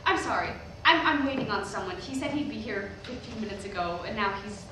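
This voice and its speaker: A woman's voice: mid-range voice, natural voice. A woman playing an eighteen-year-old preacher's daughter, nervous but defiant, giving a hesitant sermon in a staged reading. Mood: nervous, flustered. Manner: halting, hesitant public address with rhetorical questions. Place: indoor hall, recorded from the audience. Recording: very echoey hall, distant microphone, noisy.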